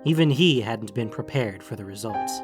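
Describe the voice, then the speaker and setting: A man's voice: on the low side, lively intonation, moderate pace, over loud music. A man reading scripted fantasy fiction as a dramatic audiobook narrator.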